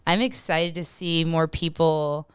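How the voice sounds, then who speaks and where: A young woman from Minnesota with a Minnesotan accent, chatting as a podcast guest, full of fillers and quick laughs. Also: low-pitched, fairly even intonation, clean tone, dry recording. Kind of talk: casual podcast chat.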